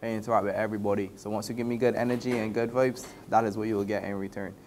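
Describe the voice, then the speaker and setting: A Barbadian man speaks in a conversational interview style. His pitch is on the low side, and faint ambient noise sits behind him. He comes across as someone talking plainly about himself and his country. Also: fairly even intonation, rapid-fire, darkish tone.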